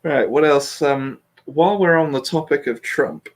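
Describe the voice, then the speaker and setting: A man's voice: fairly high pitch, moderate pace. A British man hosting a casual political chat, speaking bluntly with swearing and a self-deprecating streak. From Britain. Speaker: host.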